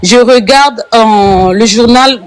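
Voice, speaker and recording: A woman's voice: a little high, slow, no room sound. A woman from Congo asks questions in a live online conversation. She speaks in earnest and repeats words for emphasis. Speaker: interviewer.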